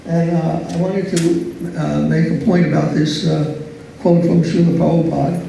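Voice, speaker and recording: A soft-spoken man giving a philosophy lecture in a slow, hesitant way, full of fillers and restarts. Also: fairly high pitch, little pitch movement, creaky, slight background noise. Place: large echoey lecture hall. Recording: echoey hall, speaker far from mic.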